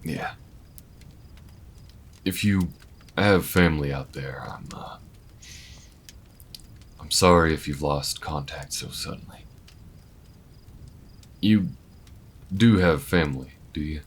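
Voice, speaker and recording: A man playing an easygoing, weathered alien bounty hunter in an intimate audio roleplay, talking in a folksy, unhurried way with murmured asides. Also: a bass voice, husky, exaggerated voice. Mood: gently curious.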